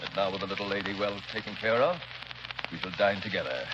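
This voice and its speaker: A man's voice: deep voice, quick pace, close to an everyday voice. A man playing a sinister, domineering host. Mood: menacing. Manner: smooth, domineering, overly solicitous. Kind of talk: 1930s radio drama acting. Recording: muffled old radio broadcast with hiss.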